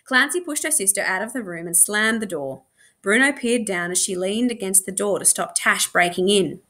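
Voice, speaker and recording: A middle-aged woman reading a children's novel aloud in an expressive storytelling style. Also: medium pitch, moderate pace. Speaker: reader of children's books.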